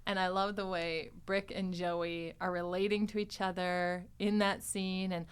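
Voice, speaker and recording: Animated woman chatting and retelling scenes. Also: on the low side, unhurried, clear, resonant tone. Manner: animatedly acts out quoted dialogue. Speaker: podcast host recapping an audio drama. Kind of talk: lively casual chat, retelling scenes.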